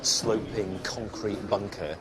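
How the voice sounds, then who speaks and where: A man's voice: lowish voice, fairly even intonation, takes it slowly, background noise. A man explaining a film set in an interview.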